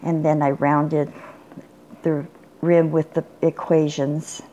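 An older woman showing off a handmade craft project in an unhurried, plain way, with small pauses and restarts. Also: low voice, flat delivery, mellow, lo-fi sound, a little hiss or hum. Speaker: crafter showing her work. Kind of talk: casual show-and-tell. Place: indoors at a table, bedroom. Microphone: phone camera filming from above.

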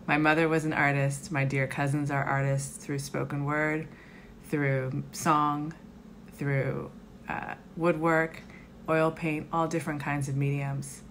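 Woman, 40s, low flat delivery, reflective home testimony on webcam. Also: slow pace, a little hiss or hum. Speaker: community advisory member. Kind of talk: personal reflection to camera. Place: home living room, indoors.

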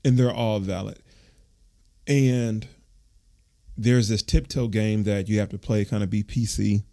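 A man's voice: fairly low pitch, steady tone, unhurried, gravelly, dark tone. A man who works as a personal trainer, hosting a solo fitness podcast, reading from an article and breaking off to ramble, correct himself and encourage listeners.

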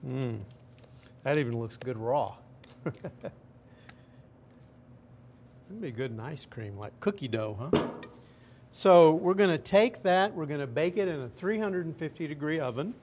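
A man's voice: fairly high pitch, measured pace, pauses often, slight background noise. Middle-aged man, chef's chatty demo. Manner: relaxed, chatty step-by-step explaining. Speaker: chef. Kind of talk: cooking demonstration. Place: restaurant demo kitchen, indoors.

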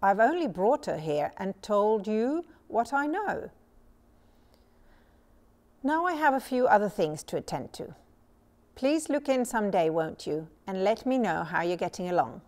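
Brisk, frank storybook creature, voiced by a woman. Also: mid-range voice, big pitch swings, darkish tone. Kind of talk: storybook character reading.

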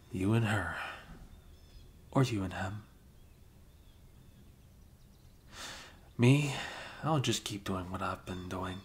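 A man playing a flustered, caring young male best friend with a crush, speaking haltingly in an improvised ASMR roleplay. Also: deep voice. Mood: teasing, wistful. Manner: halting, bashful, chuckling, casual bro talk.

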